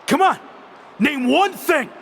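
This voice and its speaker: A man's voice: extremely high pitch, lively intonation, fairly bright tone, slight background noise, heavily acted. A man playing a brash, aggrieved rookie pro wrestler in a video game, giving an emphatic, defiant in-ring promo. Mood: angry challenge. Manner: defiant, emphatic, drawn-out delivery. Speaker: wrestler character.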